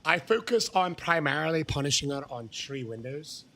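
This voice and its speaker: An Irish man hosting a live gaming stream, his voice hoarse and worn from shouting. His tone is gravelly and sits somewhat high for a man. He chats loosely and excitedly with viewers, now and then erupting into loud, happy shouts. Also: moderate pace. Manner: excitable, bursts into shouting while thanking viewers. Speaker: gaming livestreamer. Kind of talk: casual live-stream chat.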